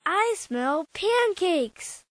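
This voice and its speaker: A woman playing an eager, friendly young schoolboy in an animated children's storybook game. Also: extremely high pitch, drawn-out words, no echo, studio quality, heavily acted. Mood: excited.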